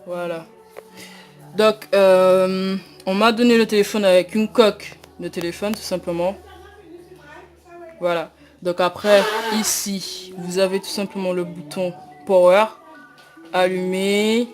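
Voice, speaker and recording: A woman's voice: fairly low pitch, darkish tone. Hesitant young woman unboxing a phone. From Guadeloupe. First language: not French. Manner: hesitant, many fillers and repeated "tout simplement". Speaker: unboxing vlogger. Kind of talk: casual phone unboxing walkthrough.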